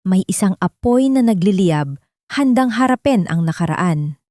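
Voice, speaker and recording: A woman's voice: medium pitch, expressive, unhurried, dark tone, no echo, studio quality. A woman with a Filipino accent reading scripted dramatic narration for an audio love story.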